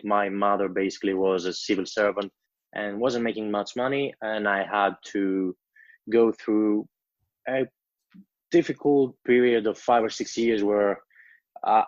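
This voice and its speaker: A young man in his twenties with a Greek accent, answering interview questions in a measured, storytelling way. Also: fairly low pitch, no room sound, lo-fi sound. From Greece. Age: 20s. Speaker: guest.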